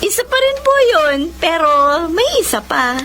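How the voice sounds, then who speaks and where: A woman's voice: very high voice, very animated, normal speed, fairly bright tone, a little hiss or hum, heavily acted. A Filipino actress playing a devoted, forgiving and earnest young mother in a radio drama. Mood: happy, excited. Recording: music bed behind the voice.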